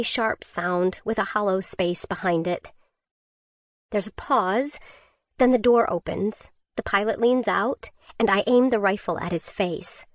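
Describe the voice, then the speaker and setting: A woman narrating a first-person survival thriller audiobook in a tense, measured way. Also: mid-range voice, no room sound, studio quality.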